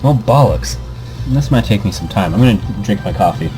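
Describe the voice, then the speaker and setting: A man's voice: fairly low pitch, animated, normal speed, music in the background. A man hosting a casual let's play, reading visual novel text aloud with commentary and often stumbling and repeating words. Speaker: gaming video host.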